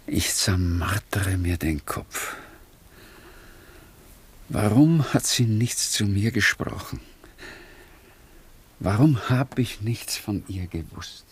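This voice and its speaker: A weary older father in a radio drama. His voice is low and gravelly, and he talks slowly, tired and troubled. The brooding delivery is in 1960s radio style. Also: expressive, exaggerated voice.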